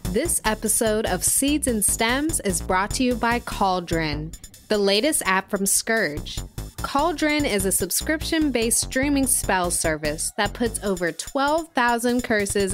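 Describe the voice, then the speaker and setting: A woman playing an earnest music documentary podcast host, delivering measured, dramatic scripted narration in an audio drama. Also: medium pitch, a bit bright. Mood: promotional.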